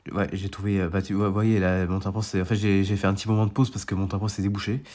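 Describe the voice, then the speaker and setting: A man's voice: sits low, flat delivery, quick pace, darkish tone. A young man with a stuffy, congested nose from a cold, rambling casually through a weather radar while complaining about being sick. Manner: rambling, repetitive, full of restarts. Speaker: storm chaser and weather vlogger. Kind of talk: casual screen-share walkthrough.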